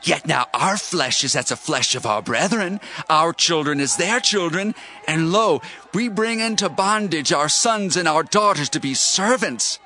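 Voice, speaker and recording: A man's voice: a little high, lively intonation, measured pace, sharp tone. A man playing an aggrieved common father, earnest and lamenting, in a dramatized scripture reading.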